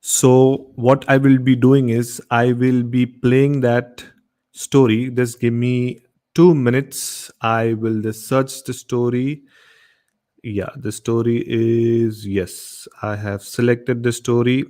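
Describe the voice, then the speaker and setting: An Indian-accented man presents a late-night horror programme at a slow, deliberate pace. His talk is casual and unscripted, and he circles back over phrases to stress them. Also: medium pitch, flat delivery, dark tone. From Goa, India. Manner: repetitive, emphatic phrasing. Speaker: host of a late-night horror radio show. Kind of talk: casual hosting, unscripted introductions.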